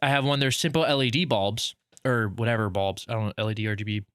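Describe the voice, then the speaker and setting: A man's voice: lowish voice, fairly even intonation, fast talker, fairly bright tone, clean tone, no room sound. A man co-hosting a casual podcast, teasing his friend in a playful way and chatting loosely with plenty of fillers. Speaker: podcast co-host.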